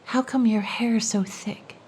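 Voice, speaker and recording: A woman's voice: on the high side, measured pace, a little mellow, slight background noise, lightly acted. A woman voicing a desperate, lovestruck young man who pleads and wonders aloud. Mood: wondering.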